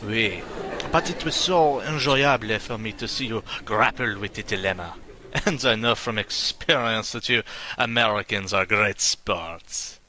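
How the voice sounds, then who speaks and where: A man's voice: fairly low pitch, a little slow, pushed and bright. Guy doing a snooty chef in a comedy sketch, super formal and polite, noisy audio. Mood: amused, polite. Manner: formal, pompous, elaborately polite. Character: haughty, urbane French male chef, teasing Americans.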